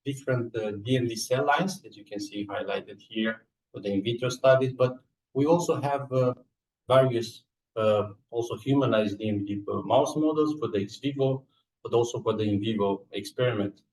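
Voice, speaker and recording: A man with a Romanian accent giving a scientific slide presentation in a halting, hesitant way with frequent filled pauses. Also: medium pitch, darkish tone. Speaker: research scientist and lab coordinator presenting. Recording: echoey room, distant microphone.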